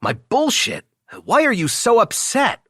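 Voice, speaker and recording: A man's voice: extremely high pitch, wildly swooping pitch, unhurried, fairly bright tone, breathy edge, no echo, clean studio sound, pitched above the performer's own voice, heavily acted. A man voicing a cocky, brash young male best friend who teases and needles, as audiobook character dialogue. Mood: excited, needling.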